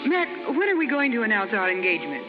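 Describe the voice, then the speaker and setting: A woman's voice: on the high side, sing-song, fast, music in the background. A woman playing an insistent, suspicious society fiancée in 1930s radio drama, speaking in a pointed, formal way. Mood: coy, pressing. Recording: muffled 1930s radio broadcast.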